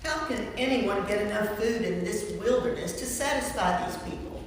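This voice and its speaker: An amateur actress playing a puzzled disciple in a staged scripture reading. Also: female voice, medium pitch, normal speed, close to an everyday voice. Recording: faint, distant, echoey church with room noise.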